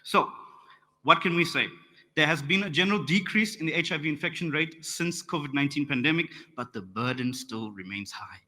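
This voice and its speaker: A man's voice: on the high side, fairly quick, sharp tone. A young South African man with a South African accent giving a friendly, lightly joking conference report and calling for slides. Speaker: conference track rapporteur. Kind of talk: conference presentation. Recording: reverberant conference hall.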